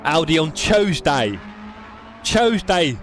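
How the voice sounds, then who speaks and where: A man's voice: fairly high pitch, very animated, slow pace, faint music behind. A man with a London accent chatting and joking with his live stream chat while playing a racing game. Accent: London English. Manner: chatty, jokey, reads chat aloud.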